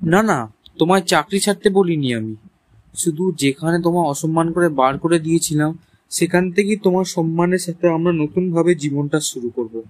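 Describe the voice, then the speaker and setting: A man's voice: fairly high pitch, normal speed, lightly acted. A man playing a hesitant, remorseful young husband in a cartoon story, speaking earnestly. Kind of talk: animated story dialogue.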